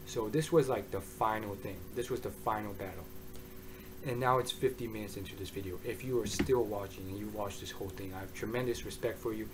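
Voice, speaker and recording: Hoarse, excitable man reviewing. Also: on the low side, fairly quick, poor audio. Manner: excitable, rambling, with many restarts. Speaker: manga reviewer. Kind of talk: casual review monologue.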